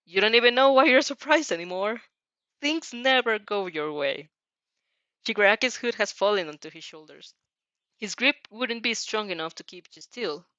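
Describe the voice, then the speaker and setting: A woman's voice: medium pitch, lively intonation, measured pace, pauses often, fairly bright tone, dry recording, highs cut off. A woman narrating a second-person story aloud in an emotive, theatrical way. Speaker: narrator reading fan fiction aloud. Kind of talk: dramatic second-person story reading with reactions.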